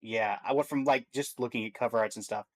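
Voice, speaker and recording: A man's voice: mid-range voice, quick pace. A man co-hosting a stream, chatting casually about video games and thinking aloud as he goes. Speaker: stream co-host.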